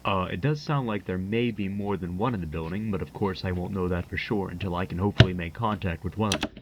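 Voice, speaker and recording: A man playing a calm, methodical paranormal investigator, speaking his case notes in a measured, matter-of-fact way with hesitant pauses. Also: low voice, muffled recording, slight background noise, lightly acted. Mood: pondering. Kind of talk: found-footage horror monologue, spoken as case notes.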